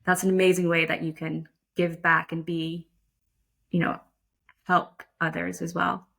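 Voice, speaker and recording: Low-voiced woman in an interview. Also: little pitch movement, unhurried, gravelly, fairly bright tone. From Netherlands. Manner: thoughtful, self-deprecating, frequent restarts and fillers. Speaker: guest, lacrosse umpire. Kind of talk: casual interview conversation.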